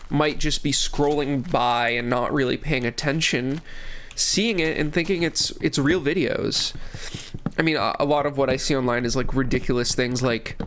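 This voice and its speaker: A man's voice: a little high, fairly bright tone. A young man in his twenties, congested from a cold, talking casually over a game and rambling with many restarts. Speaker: gaming channel host. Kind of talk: casual commentary while playing.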